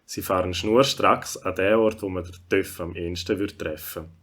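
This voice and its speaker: A man's voice: low voice, steady tone, moderate pace, fairly bright tone. A man with a Swiss German accent narrating a scripted children's audio story.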